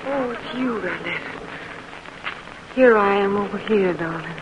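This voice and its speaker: Fearful aging mother, played by a woman, slow and hushed, over a noisy dull vintage recording. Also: medium pitch, natural voice. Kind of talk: 1940s radio acting. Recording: muffled, noisy old radio recording.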